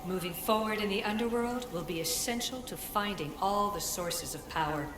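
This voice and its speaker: A woman playing an ancient, solemn spirit guide in a video game, speaking in a measured, formal and cryptic way. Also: medium pitch, close to an everyday voice. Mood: commanding, solemn. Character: ancient female Isu spirit in a staff, solemn commanding guide. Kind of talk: scripted game cutscene acting. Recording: echoey hall reverb over music.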